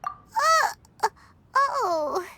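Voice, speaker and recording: A woman voicing a tiny, chirpy, childlike fairy-like companion in an animated video game style. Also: very high-pitched, extremely slow, slight background noise, exaggerated voice. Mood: hesitant, cheerful. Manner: childlike, speaks of self in third person.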